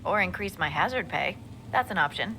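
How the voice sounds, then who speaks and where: A wry, practical scout in a fantasy game. She speaks dryly and matter-of-factly in a somewhat low voice. Steady rain sounds behind her. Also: fairly quick, a little hiss or hum, rough recording. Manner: dry, matter-of-fact. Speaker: scout reporting to the Inquisitor.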